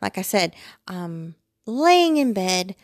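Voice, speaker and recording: A woman's voice: medium pitch, big pitch swings, slow, no echo, studio quality. An American woman in her forties chatting casually and digressively, with a self-deprecating, rambling manner. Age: 40s. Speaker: vlogger. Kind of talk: casual rambling personal chat. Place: bedroom at home, lying in bed.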